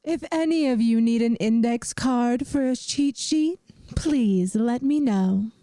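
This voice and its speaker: A woman playing a centuries-old vampire math teacher in an ASMR roleplay, gentle, wry and reassuring, with a soft, soothing, teacherly manner. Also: a little high, slow. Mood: calm, instructive.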